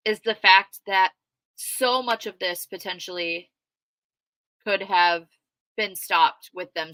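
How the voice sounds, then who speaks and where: A woman giving casual, outspoken livestream commentary, loud-voiced and chatty, with plenty of fillers as she reacts to live chat comments. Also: medium pitch, dry recording, poor audio. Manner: conversational with frequent fillers. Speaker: true crime commentator reading live chat.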